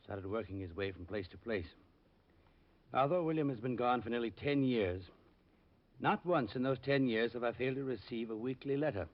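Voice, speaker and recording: Actor as an earnest client, muffled old radio. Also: male voice, medium pitch, natural voice. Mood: earnest, formal. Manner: formal, measured, precise. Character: earnest, worried, wealthy male client. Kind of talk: 1950s radio drama acting.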